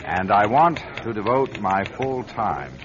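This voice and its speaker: Narrating as an urgent, earnest newsman; low, lively, muffled broadcast with music under. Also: unhurried, background noise. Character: driven male network journalist narrating his find. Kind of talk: 1970s radio drama narration.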